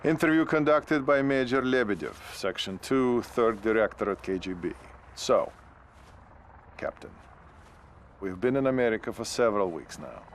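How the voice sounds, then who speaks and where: A man's voice: medium pitch, lively intonation, normal speed, a little hiss or hum, lightly acted. A man playing a cool, calculating KGB major, speaking in a formal, probing manner in a video game cutscene.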